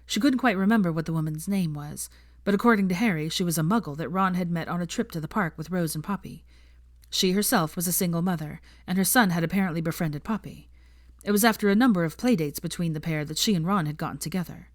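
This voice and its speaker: A woman reading fan fiction aloud as the narrator, in a steady storytelling manner. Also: fairly low pitch, quick pace, no room sound, studio quality. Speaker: fanfiction narrator. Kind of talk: scripted narration.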